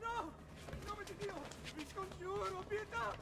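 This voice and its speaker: A man's voice: very high voice, moderate pace. An actor playing a frenzied Renaissance man with an Italian accent, crying out in a video game fight. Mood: frantic. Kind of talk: video game cutscene acting. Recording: loud music behind.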